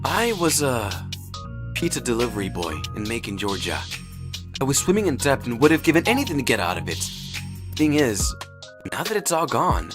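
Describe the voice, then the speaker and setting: An actor playing a regretful young man, a former delivery boy, in a comic dub. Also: fairly high pitch. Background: loud background music.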